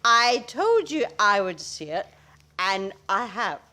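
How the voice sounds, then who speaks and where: A woman's voice: mid-range voice, very animated, slow pace, sharp tone, heavily acted. A woman playing an indignant, overbearing older mother-in-law in a comic radio play, declaiming and wailing theatrically. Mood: accusing.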